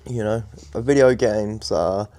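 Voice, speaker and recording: A young man co-hosting a podcast, talking calmly and thoughtfully, with lots of fillers. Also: fairly low pitch, slow. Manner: thoughtful, calm, many fillers. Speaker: podcast co-host. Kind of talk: casual chat.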